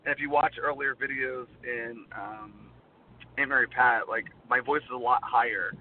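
Man with a worn-down low voice. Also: fairly even intonation, moderate pace. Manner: rambling, heavy use of "like". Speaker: guest performer. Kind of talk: casual interview chat. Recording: tinny phone-like line, faint noise.

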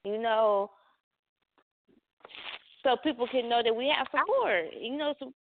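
A woman's voice: on the high side, a little slow, a little hiss or hum. A woman from the American South, a rapper and co-host, talking in an outgoing and agreeable way. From born in Atlanta, raised in Dallas. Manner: outgoing, agreeable, apologetic with little laughs. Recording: tinny phone-line sound.

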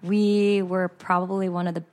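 A woman's voice: fairly low pitch, flat delivery, moderate pace, a little mellow. A young adult woman chef from San Jose, chatting casually as a podcast guest, laughing often and trailing off mid-sentence. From San Jose, California. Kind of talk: casual chat.

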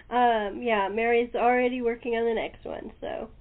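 A woman host chatting casually with guests in an informal, agreeable way. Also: on the high side. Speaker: host interviewing radio-play cast. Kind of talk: casual chat. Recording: thin narrow-band call audio.

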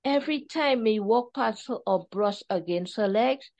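A woman's voice: on the high side, expressive, normal speed, vocal fry, a little mellow, voice pushed up. A woman voicing a pampered house cat that speaks with a Jamaican accent and tells its tale in a chatty, self-satisfied way, read from her own storybook. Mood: contented.

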